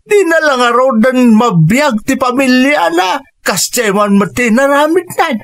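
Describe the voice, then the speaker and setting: A man's voice: very high-pitched, lively intonation, a little slow, gravelly, a bit bright, heavily acted. A man playing an older, concerned father in a radio drama, talking in a gossipy, disapproving way about unfaithful husbands.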